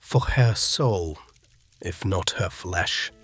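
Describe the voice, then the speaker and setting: A man as a slow, commanding elder priest. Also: low voice, animated, breathy edge, faint music behind, full character voice. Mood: pious, commanding. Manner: quiet, pious with steely edge. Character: weathered elder high priest, commanding and pious.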